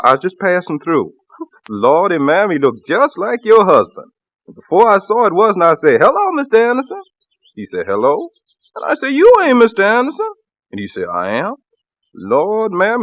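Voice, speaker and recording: An actor in a 1940s radio drama playing a genial, deferential railroad porter with a folksy, chatty way of talking. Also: male voice, high voice, a bit bright, no room sound. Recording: dull old radio recording, dry.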